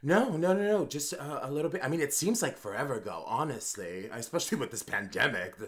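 Camp male guest, 30s, animated pitch, rambling and giggly. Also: moderate pace. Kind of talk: casual chat over video call. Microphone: video call.

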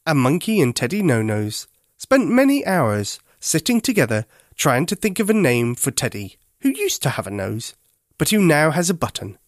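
Bedtime story narrator for a children's podcast, gentle and clear in diction, highly varied intonation, close dry sound. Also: sing-song, moderate pace, no echo.

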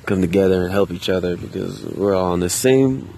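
A man talking to himself in a park in a quiet, subdued, hesitant way, with many restarts and casual swearing. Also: low voice, brisk, gravelly. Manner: loose and conversational with casual swearing. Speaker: vlogger. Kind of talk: casual solo monologue. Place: outdoors in a park.

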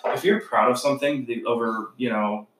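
A man's voice: medium pitch, normal speed, fairly bright tone, recorded from a distance. A young man from the United States in casual chat as a podcast co-host, rambling and musing with lots of filler words. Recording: roomy sound, set back from the mic.

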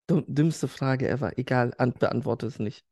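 A man's voice: mid-range voice, normal speed, darkish tone, no echo, clean studio sound. A man co-hosting a casual podcast, joking, crude and laughing often. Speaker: podcast co-host. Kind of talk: casual chat.

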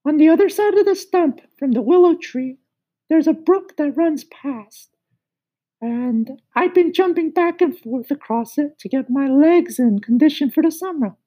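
A man voicing a shy, wistful young male cricket with a high, musical, sing-song voice, for a children's storybook reading. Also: expressive, unhurried, warm tone, rough recording. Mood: wistful storytelling.

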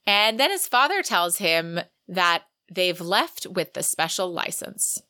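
A woman's voice: fairly low pitch, very animated, takes it slowly, bright, forceful tone, no echo, clean studio sound. A woman co-hosting a podcast, speaking in a conversational presenting style. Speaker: podcast co-host. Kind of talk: conversational story recap and show notes.

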